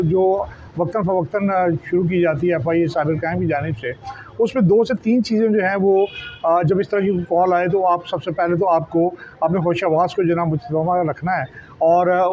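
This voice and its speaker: A man with a Pakistani accent explains in an interview as a journalist, wordy and halting, often repeating and restarting his words. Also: sits high, darkish tone. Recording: dull lo-fi sound, faint background noise.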